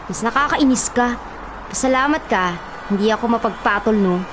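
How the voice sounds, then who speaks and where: A woman's voice: a little high, normal speed, noisy background, natural voice. An actress playing a chatty, caring, nagging young woman companion in a Filipino radio drama, with a Filipino accent. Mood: exasperated. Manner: talkative, fussing.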